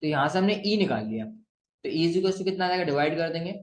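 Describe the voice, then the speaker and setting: A man with an Indian accent teaching step by step as a physics teacher, asking and answering his own questions and slowly dictating what to write. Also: fairly high pitch, expressive. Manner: asks and answers own questions, frequent check-ins; slowly dictates written sentences. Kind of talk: step-by-step classroom lesson mixing Hindi and English. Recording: echoey room.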